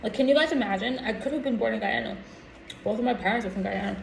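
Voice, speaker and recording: An American woman chatting casually to camera as a vlogger, in a halting, reflective way that trails off mid-thought. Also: fairly high pitch, vocal fry. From United States. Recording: roomy echo with background noise.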